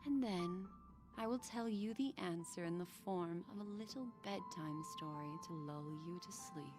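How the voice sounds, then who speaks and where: A woman playing an enigmatic, teasing, seductive keeper of memories in a video game, with measured, theatrical and elegant phrasing. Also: fairly low pitch, close to an everyday voice. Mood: soft, teasing. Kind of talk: scripted video game character dialogue. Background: background music.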